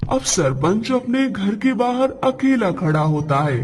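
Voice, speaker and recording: Guy narrating a cartoon story, pretty high voice, faint music behind. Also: very animated, unhurried, slightly rough.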